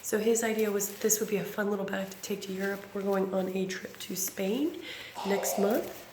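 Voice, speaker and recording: Thirties woman vlogger, hoarse and raspy, loose chatty delivery full of fillers; roomy echo with steady noise behind. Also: mid-range voice, normal speed. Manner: rambling, frequent ums and self-corrections. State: raspy from bronchitis. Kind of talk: casual unboxing chat. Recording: echoey room with background noise.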